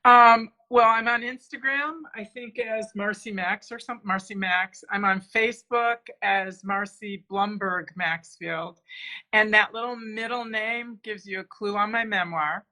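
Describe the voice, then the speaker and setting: A woman author being interviewed, talking casually and haltingly, restarting her sentences. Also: medium pitch, sharp tone. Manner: hesitant, many fillers and restarts. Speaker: author guest.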